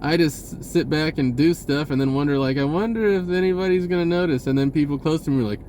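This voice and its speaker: American dude vlogging, kinda high voice, rambly slangy storytelling, noisy background. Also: male voice, fast talker, a little mellow. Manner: rambling, slangy, self-interrupting. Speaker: vlogger sharing spiritual self-help advice. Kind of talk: casual unscripted personal storytelling.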